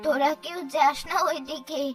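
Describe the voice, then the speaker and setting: A woman's voice: high-pitched, fairly even intonation, moderate pace, natural voice. A woman voicing a frail, mad old beggar woman in a cartoon story, muttering rambling, repetitive, ominous warnings. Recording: distant mic, loud background music.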